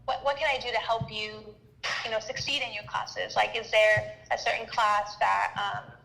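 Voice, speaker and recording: Chatty woman teacher with a gravelly voice. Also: medium pitch, level tone, moderate pace, a bit bright, soft background music, poor audio. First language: Spanish. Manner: chatty, full of "like" and "you know". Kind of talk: casual interview guest.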